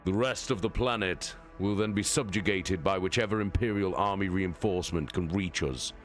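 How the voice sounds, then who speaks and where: A man voicing a regal, calculating male warmaster who gives a measured, authoritative battle briefing. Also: low-pitched, soft background music, natural voice. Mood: commanding.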